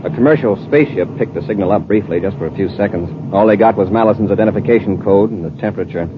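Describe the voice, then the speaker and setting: A man's voice: fairly low pitch, racing, close to an everyday voice. An American man playing a brave, decisive space patrol commander in a 1950s radio adventure serial, clipped and authoritative. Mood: calm, authoritative. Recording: muffled, noisy 1950s radio recording.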